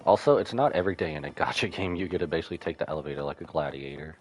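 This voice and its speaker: Very low-voiced man, lively game streamer. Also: racing. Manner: muses aloud to himself. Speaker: livestreaming gamer. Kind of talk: casual game commentary. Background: game music.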